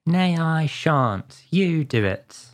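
Woman doing a gruff, low, bossy workman. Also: expressive, extremely slow, full character voice. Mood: bossy, busy.

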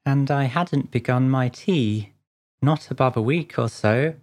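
Woman acting wretched male storybook witness, deepened voice, slow pace, trembling, hesitant, humble. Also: darkish tone. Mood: anxious, pleading.

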